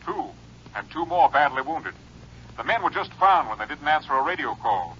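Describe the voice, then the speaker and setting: An actor playing an urgent, worried American law officer in a 1950s radio western, husky and clipped as he reports. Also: a little high, sing-song, moderate pace, bright, forceful tone, slight background noise. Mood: grim, urgent. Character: urgent, worried Texas Ranger officer briefing a colleague. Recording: dull old radio recording.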